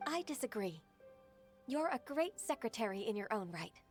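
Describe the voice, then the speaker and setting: A woman playing a gentle, modest secretary and mentor in a video game, courteous, reassuring and slightly formal. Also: fairly high pitch, sing-song, normal speed, soft background music. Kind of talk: dubbed game dialogue acting.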